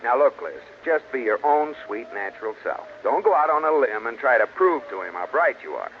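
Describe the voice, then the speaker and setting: An actor playing an affable but anxious, easily exasperated husband, with hesitant delivery in a 1940s radio sitcom style. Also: male voice, medium pitch, very animated, fairly quick, natural voice. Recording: muffled, hissy old radio broadcast.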